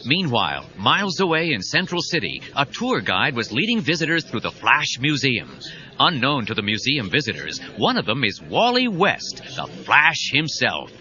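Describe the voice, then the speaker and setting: A man's voice: medium pitch, very animated, moderate pace, sharp tone. A man narrating a scripted adventure story in a dramatic storytelling style. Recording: dull cassette sound, music behind.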